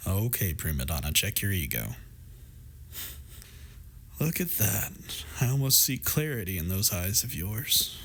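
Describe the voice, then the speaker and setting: Man playing a low-voiced, teasing, supportive best friend. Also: very animated, unhurried, pauses often, fairly bright tone, breathy edge, full character voice. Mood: playful, teasing. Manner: playful, affectionate, wry, reassuring. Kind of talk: intimate scripted roleplay audio drama.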